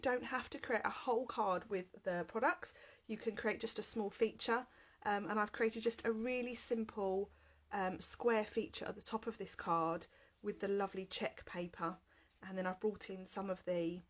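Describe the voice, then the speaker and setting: Lady with a bad cold, chatty crafting livestream from home, lots of ums, webcam mic. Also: mid-range voice. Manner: chatty and upbeat, frequent "um" fillers. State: has a heavy cold. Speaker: craft demonstrator. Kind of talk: live card-making class. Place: home craft room with shelves and windows. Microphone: webcam at desk, then overhead camera.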